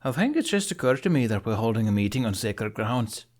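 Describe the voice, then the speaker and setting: A man's voice: medium pitch, very animated, a little fast, a little mellow. A man voicing a nonchalant, wry Viking blacksmith in an audiobook, musing casually aloud.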